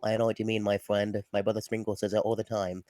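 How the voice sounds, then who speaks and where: A man's voice: on the low side, flat delivery, quick pace, darkish tone, dry recording, clean studio sound, close to an everyday voice. A man playing a polite simple adventurer.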